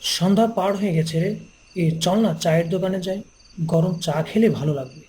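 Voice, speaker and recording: Man acting a mellow, sceptical young villager. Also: sits high, normal speed, slight background noise, natural voice. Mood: easygoing. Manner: dismissive, casual.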